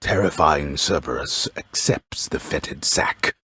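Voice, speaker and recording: A male actor playing a grave, pompous storyteller in a video game, narrating a mythic epic in an ornate, deliberate, dryly wry manner with a gravelly voice. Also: rumbling low, pushed and bright, no echo, clean studio sound, full character voice. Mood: solemn, portentous. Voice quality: gravelly, husky. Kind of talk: theatrical scripted narration.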